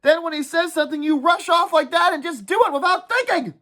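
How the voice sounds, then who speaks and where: Woman voicing a high-pitched whiny boy, anxious, complaining, storybook reading. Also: fairly quick, pushed and bright. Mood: scolding. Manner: shrill, complaining, frantic. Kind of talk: storybook read-aloud.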